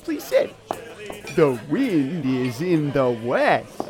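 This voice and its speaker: A woman's voice: low-pitched, big pitch swings, very slow, music in the background, heavily acted. A woman voicing a nervous, chatty young woman on her first day at a job, fumbling her words. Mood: flustered. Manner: fumbling and talkative.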